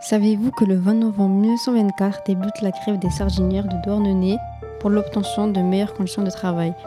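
A young woman reads a scripted narration in a clear, careful way. Her pitch stays fairly flat. Music plays clearly underneath. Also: brisk. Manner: clear, read-aloud delivery.

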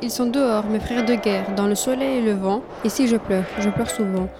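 A woman's voice: mid-range voice, normal speed, over music, lightly acted. A young woman reciting a poem as an imprisoned young female resistance fighter. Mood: sorrowful.

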